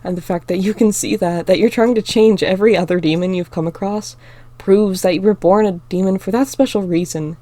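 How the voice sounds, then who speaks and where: A woman voicing a calm young shapeshifter man who speaks quietly and teases a little. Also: medium pitch, fairly quick, no echo, lightly acted. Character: calm, dry young male werewolf-like shifter.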